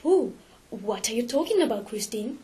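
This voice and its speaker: An ardent young man in love, played by a woman narrator, with a high voice. He is anxious and insistent, pleading with passion in a storybook drama. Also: sing-song, normal speed, mellow, slightly rough, heavily acted. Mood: bewildered. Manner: insistent, impassioned.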